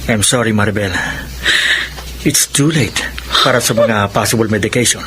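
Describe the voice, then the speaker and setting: An actor playing a grave middle-aged Filipino doctor in a radio drama, speaking in a measured, serious way. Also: male voice, fairly low pitch, bright, forceful tone, a little hiss or hum, rough recording. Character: grave older doctor uncle breaking bad news.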